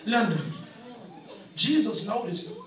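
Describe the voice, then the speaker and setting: A man's voice: high-pitched, very animated, measured pace, a bit bright, far from the mic, a faint crowd. A middle-aged man preaching a fervent sermon, emphatically repeating phrases and calling on his congregation. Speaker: pastor preaching. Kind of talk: fervent church sermon with call and response. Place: medium church hall, indoors. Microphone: handheld PA mic, recorded by audience phone. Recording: echoey church hall, phone in audience, noisy congregation. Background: music and congregation responses.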